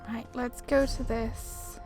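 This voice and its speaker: A woman's voice: fairly high pitch, little pitch movement, takes it slowly, darkish tone, faint music behind. A woman doing casual, chatty commentary on a game playthrough, sometimes reading dialogue aloud. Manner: chatty, informal. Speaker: gamer commentator.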